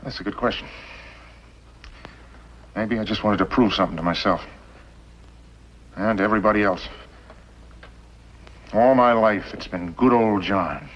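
A man's voice: on the low side, unhurried, pauses often, natural voice. Man playing bitter, scheming outlaw, muffled. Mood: bitter, brooding. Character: bitter, cunning male western outlaw mastermind. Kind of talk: 1950s television western acting. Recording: muffled, noisy old television soundtrack.

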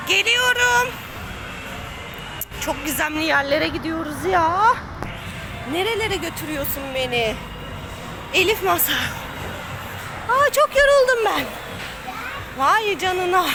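A woman's voice: very high-pitched, lively intonation, slow, long pauses. A woman giving playful, exclamatory running commentary to a child, coaxing and encouraging while she moves around a play area. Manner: physically active while talking. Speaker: family vlog host playing along with a child. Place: play park obstacle course. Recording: noisy play area ambience.

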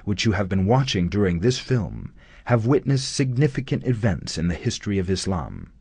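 A man narrating a scripted documentary in a measured, solemn studio voice-over. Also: on the low side, very animated, gravelly, darkish tone, breathy edge, no echo.

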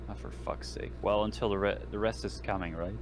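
Male tabletop player, low register, blunt casual delivery, noisy voice-chat recording. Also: steady tone, brisk. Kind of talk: casual in-character roleplay chat.

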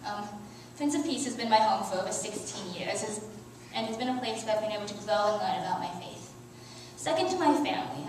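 A woman's voice: medium pitch, recorded from a distance, background noise. Teen girl preaching in echoey church. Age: teens. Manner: earnest, with soft laughs and small restarts. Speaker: youth speaker. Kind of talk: reading a prepared sermon. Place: church sanctuary. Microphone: headset mic, filmed by far camera.

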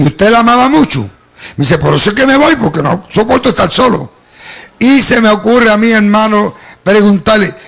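A man's voice: high voice, vocal fry, a bit bright. A middle-aged man from Puerto Rico with a Puerto Rican Spanish accent with some Cuban, telling his testimony to a church congregation into a handheld microphone, often calling listeners "beloved.". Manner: storytelling, addresses the congregation as "amado". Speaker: preacher giving a testimony. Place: church hall before a congregation.

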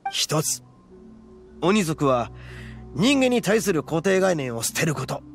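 A proud young oni gang leader from an anime game, speaking in rough, blunt slang with a swagger. His voice sits a little high for a man, and music plays underneath. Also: big pitch swings, takes it slowly, full character voice. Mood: solemn, explaining. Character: brash, proud young male horned oni gang boss.

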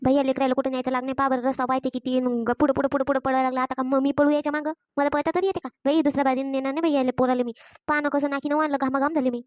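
A woman's voice: high-pitched, little pitch movement, racing, no room sound, clean studio sound, lightly acted. A woman voicing an exasperated, scolding village mother in a cartoon, with a rural Vidarbha Maharashtrian accent and a repetitive, complaining manner. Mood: fretting, nagging. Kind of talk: Marathi cartoon comedy voice acting.

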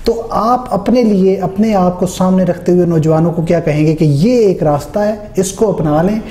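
Male host, long formal questions, very dark slightly raised voice, roomy studio. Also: sits high, moderate pace. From Pakistan. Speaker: interview host. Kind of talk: interview questions. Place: small studio room, indoors. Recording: echoey small room.

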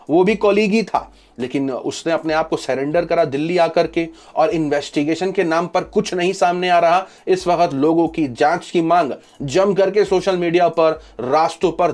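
A man's voice: a little high, a little mellow. A man, presenting news commentary to camera in an emphatic, explanatory way. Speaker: host of a news commentary channel.

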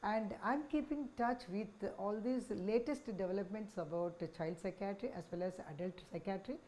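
A woman in her sixties with an Indian accent, speaking as a senior psychiatrist in a measured, explanatory talk to camera. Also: mid-range voice, mellow, a little hiss or hum. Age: 60s. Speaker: senior child psychiatrist, head of department. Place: small office, indoors. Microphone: lapel microphone.

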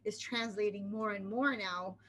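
A woman's voice: medium pitch, steady tone, normal speed, fairly bright tone, a little hiss or hum. A middle-aged woman hosting a casual interview over a video call from home, chatty and encouraging with plenty of fillers. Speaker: interview host. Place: home room with slanted wooden ceiling. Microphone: laptop webcam on video call.

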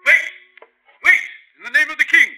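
An urgent shouting royal messenger. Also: male voice, extremely high pitch, normal speed, soft background music, full character voice. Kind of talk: 1950s radio drama acting.

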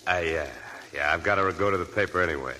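An actor playing a mocking, sly male newspaper reporter in a 1940s radio drama, taunting with drawn-out sarcasm. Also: low voice, bright, forceful tone. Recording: old 1940s radio broadcast with hall echo.

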